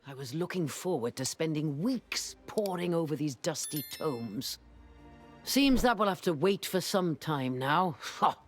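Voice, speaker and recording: Grumbling erudite alchemist for a game: husky, very animated, exclamatory. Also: mid-range voice, unhurried, soft background music. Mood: wry. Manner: theatrical, exclamatory, exasperated. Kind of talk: video game cutscene dialogue.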